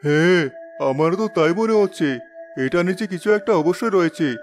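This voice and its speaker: An actor playing an honest, steady farmer and elder brother in a cartoon, giving advice. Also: male voice, high voice, brisk, faint music behind, natural voice. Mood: advising. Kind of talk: cartoon dialogue.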